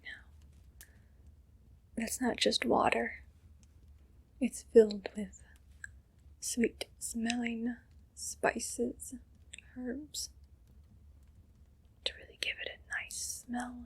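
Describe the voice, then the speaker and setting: A breathy evil queen, acted by a woman, whispers in an ASMR roleplay with slow, deliberate pacing. She is condescending and possessive, a cruel ruler speaking softly to her servant in a fairly high voice. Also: level tone, exaggerated voice. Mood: soft, indulgent.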